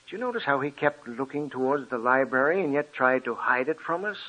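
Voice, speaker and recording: An actor playing a calm, authoritative doctor in 1940s radio acting style, steady and reasoning. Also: male voice, mid-range voice, a little fast, slightly rough. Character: calm, commanding male doctor friend. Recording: muffled old radio recording.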